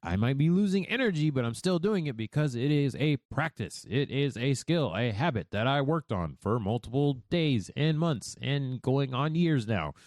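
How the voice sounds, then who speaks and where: Male podcast host, swings high and low, casual and salty, close dry mic. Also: no echo. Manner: animated, loose and profane, speaks fast. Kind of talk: casual commentary.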